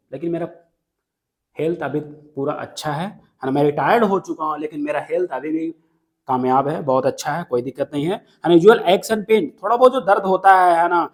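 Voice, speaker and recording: A man teaching a poem line by line, switching between Hindi and English and often checking that students follow. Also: high-pitched. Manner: mixes Hindi and English, checks understanding, repeats key lines. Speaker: literature teacher. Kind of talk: classroom lecture explaining a poem line by line.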